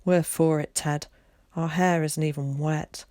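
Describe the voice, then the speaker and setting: A woman reading an audiobook, voicing a furious, rebellious teenage girl who shouts and mocks. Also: steady tone, a little slow, no echo, studio quality. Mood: worried. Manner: shrill, mocking and shouting.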